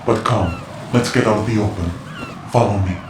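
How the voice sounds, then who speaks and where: A man playing a mysterious informant in a video game, formal and measured, with a cool, condescending manner. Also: on the low side, echoey room, background noise, close to an everyday voice. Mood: cool, superior. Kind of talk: dramatic video game voice acting.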